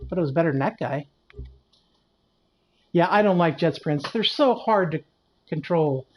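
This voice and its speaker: A man in his late fifties with a fairly high-pitched voice, chatting casually and poking fun at himself while he streams a racing game. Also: moderate pace. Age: 50s. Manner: relaxed and chatty, answers chat, self-deprecating. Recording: loud game music behind the voice. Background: game music and car engines.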